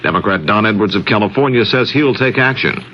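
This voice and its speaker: A man's voice: on the low side, animated, brisk. An American man reading a scripted radio news bulletin as the anchor. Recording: muffled, noisy 1970s radio broadcast.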